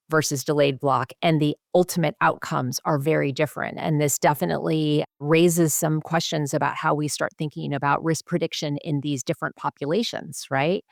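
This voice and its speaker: A woman's voice: low-pitched, gravelly, no room sound, studio quality. A woman, a physician hosting a podcast interview, speaking in an engaged, affirming and conversational way.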